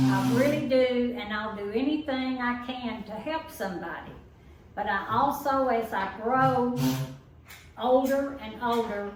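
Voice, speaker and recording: A woman's voice: fairly high pitch, slight background noise. A woman in her eighties speaking earnestly and candidly about her upbringing and caring for people. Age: 80s. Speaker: interviewee. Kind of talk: casual reflective talk. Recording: very echoey room, distant mic.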